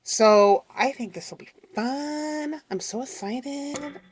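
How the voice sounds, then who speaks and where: Middle-aged woman host with a cold, congested and raspy, chatting and apologizing through a relaxed crafting tutorial. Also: sits high, takes it slowly, slight background noise, poor audio. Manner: chatty, apologetic, talks to viewers while working. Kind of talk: casual craft tutorial chat.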